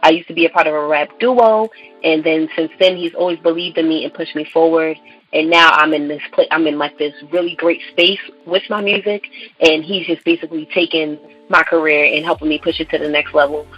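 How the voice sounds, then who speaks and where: A woman's voice: low voice, a little fast, muffled recording, soft background music. A young woman from Brooklyn, a guest artist on a radio interview, who talks in an upbeat, chatty way with lots of "um" and "like.". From Brooklyn, New York.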